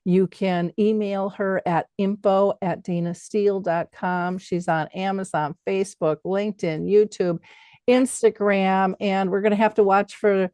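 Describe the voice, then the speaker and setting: A woman's voice: mid-range voice, flat delivery, a little slow, darkish tone, smooth, no echo. A woman talking conversationally as the host of an interview show.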